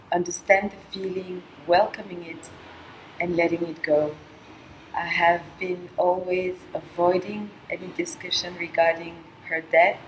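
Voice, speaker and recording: A middle-aged woman from Colombia speaking softly and haltingly, with frequent pauses and fillers, in a reflective personal monologue. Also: fairly low pitch, a little hiss or hum, rough recording. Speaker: vlogger sharing a personal experience.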